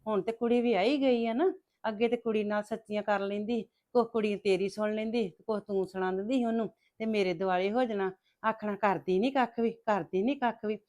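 A mid-pitched, sly voice belongs to a woman acting a scheming daughter-in-law. She taunts her mother-in-law with smug, defiant threats. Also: steady tone, brisk, a little mellow, lightly acted. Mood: taunting.

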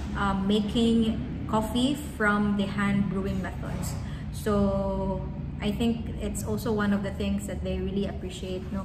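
Young Filipino woman, coffee trainer, casual to camera; roomy cafe with long echo and steady noise behind. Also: mid-range voice. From Philippines. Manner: chatty, adds tag "no?" often. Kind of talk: casual vlog talk, reading feedback aloud. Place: large cafe, indoors. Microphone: camera close in front.

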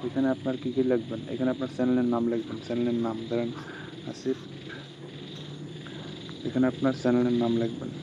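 Man, quick and monotone, rambling tutorial talk, dull lo-fi phone audio, clear insect hum behind. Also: medium pitch. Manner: rambling, repeats "ঠিক আছে" between steps. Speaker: tutorial host. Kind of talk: casual screen-recorded walkthrough. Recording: dull lo-fi phone recording with steady insect-like background noise.

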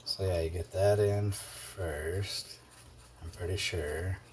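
A middle-aged man with a low voice rambles through a repair in his cluttered garage, full of fillers and asides. He talks off to the side of the camera mic while his hands work, and a faint hum of shop noise sits behind him. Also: fairly even intonation, slow, darkish tone. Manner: rambling, chatty, self-deprecating with fillers. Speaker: garage video host. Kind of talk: casual workshop how-to narration. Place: cluttered home workshop garage.

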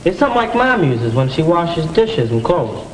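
Sensible American teenage boy, fairly high voice. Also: big pitch swings, normal speed. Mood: calm, informative. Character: sensible, take-charge teenage older brother. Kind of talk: stiff 1950s educational film acting. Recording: noisy old 1950s film soundtrack.

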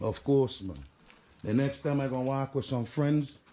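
A man's voice: medium pitch, moderate pace, a crowd in the background. A man, chatting loosely and laughing as he vlogs outdoors by a pig pen. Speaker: vlogger. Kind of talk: casual chat. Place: outdoor pig pen under tin roof.

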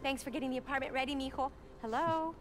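An actress playing a warm, caring middle-aged mother in a video game, speaking affectionately. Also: sits high, fairly even intonation, fast, faint music behind, lightly acted. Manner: affectionate, motherly, slips into Spanish endearments. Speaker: game character.

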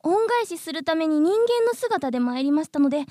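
Very high-pitched earnest mosquito lady. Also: very animated, a little fast, dark tone, no echo, studio quality. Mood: earnest, polite. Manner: polite, insistent, overly earnest. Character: earnest, pushy female mosquito in human form. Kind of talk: broadcast radio comedy drama.

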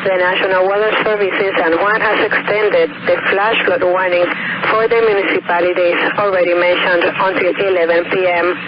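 A man's voice: high-pitched, level tone, unhurried. A man reading a scripted emergency weather bulletin as an announcer over the radio. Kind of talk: scripted bilingual alert reading. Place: radio broadcast. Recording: noisy, muffled weather radio broadcast.

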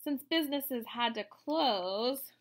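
A woman in her thirties reading a picture book aloud to children, explaining as she goes in an expressive way. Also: high voice, slow pace. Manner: expressive, explanatory, addressing young listeners. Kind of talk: read-aloud storytelling for children with asides. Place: room at home, indoors.

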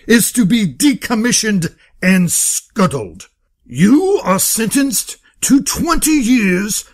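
Man acting a high-voiced, slow, stern military judge. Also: creaky, heavily acted. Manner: formal, declamatory, slow and deliberate oratory. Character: stern, pompous male court-martial judge, coldly condemning. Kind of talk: audio drama acting.

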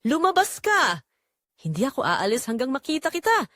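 A woman's voice: high voice, huge pitch swings, moderate pace, bright, forceful tone, no room sound, studio quality, pitched above the performer's own voice, heavily acted. Woman voicing a menacing, obsessed male stalker. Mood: demanding, obsessed.

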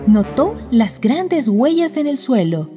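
A woman narrates a children's bedtime story in a mellow voice. Her delivery is lively in pitch, with faint music underneath. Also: takes it slowly, long reverb tail.

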